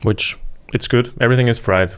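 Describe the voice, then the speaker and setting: A young man with an Austrian accent, chatting as a podcast guest in a soft, halting way. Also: medium pitch, steady tone, smooth. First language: German. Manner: soft-spoken, halting, with pauses and laughs. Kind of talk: casual podcast chat.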